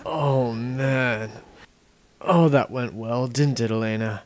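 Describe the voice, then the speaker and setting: A man's voice: medium pitch, fairly even intonation, slow, natural voice. A man playing a cocky, wisecracking, sarcastic adventurer in a fan-made game parody dub. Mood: sardonic. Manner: flippant, sarcastic.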